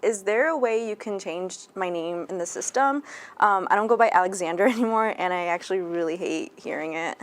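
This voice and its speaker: A woman acting as a nonbinary patient phoning a clinic. The voice is mid-pitched, polite and plain-spoken, a little hesitant, in a training role-play. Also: fairly quick, natural voice. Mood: uncomfortable. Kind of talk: scripted training role-play phone call.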